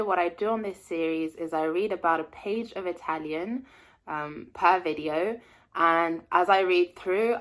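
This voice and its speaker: Young woman doing a casual read-along, lowish voice, stops to guess words. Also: a bit bright. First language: French. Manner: reads slowly, pauses to translate and guess words. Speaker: language learner reading aloud.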